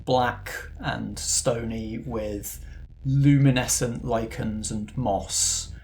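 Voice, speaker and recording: Male game master, conversational storyteller, descriptive, with measured pauses between images. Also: mid-range voice, steady tone, vocal fry, a little mellow, faint music behind. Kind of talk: narrating an improvised tabletop game.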